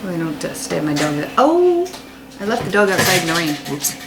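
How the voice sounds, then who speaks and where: A woman's voice: on the low side, very animated, brisk, very noisy. An American woman teaching children in a read-aloud lesson, explaining informally and putting questions to her young listeners. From United States. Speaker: fifth-grade teacher.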